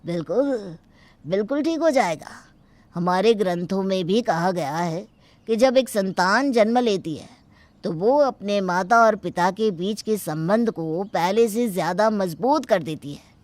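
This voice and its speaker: A woman voicing a reassuring male village priest who gives calm, confident counsel in a cartoon story. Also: medium pitch, unhurried, dry recording, close to an everyday voice. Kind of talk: cartoon dubbing.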